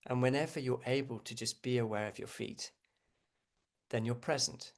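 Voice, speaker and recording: Gentle man guiding meditation, creaky voice. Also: medium pitch, steady tone. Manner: gentle, unhurried, frequent pauses. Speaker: meditation teacher. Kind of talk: guided meditation, unscripted.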